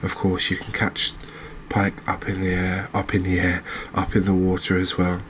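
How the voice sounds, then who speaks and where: The deep-voiced man on a late-night cozy gaming channel, murmuring measured, gentle commentary over a bed of background noise. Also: completely flat delivery. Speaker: host playing a fishing video game. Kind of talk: soft-spoken ASMR game commentary.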